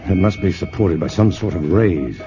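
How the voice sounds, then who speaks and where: An actor playing a calm male scientist hero who explains things plainly, in a 1950s radio drama. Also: low-pitched, normal speed. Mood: tense. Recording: dull old radio broadcast with music.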